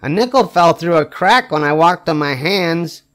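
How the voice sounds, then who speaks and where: Older man reading a storybook, slightly high voice. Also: expressive, fairly bright tone. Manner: emphatic, with drawn-out wry punchlines. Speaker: storybook reader. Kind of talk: expressive read-aloud of a children's picture book.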